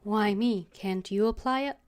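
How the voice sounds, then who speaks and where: A woman game streamer chatting casually to viewers in a hesitant, halting way. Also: mid-range voice, a little mellow. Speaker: game livestreamer. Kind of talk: casual livestream chat.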